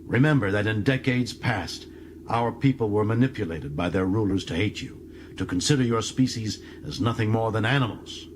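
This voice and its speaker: A man's voice: fairly low pitch, moderate pace, noisy background, lightly acted. An actor playing a bitter, proud young male alien prisoner in an audiobook, speaking with dramatic intensity and contempt. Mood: ashamed.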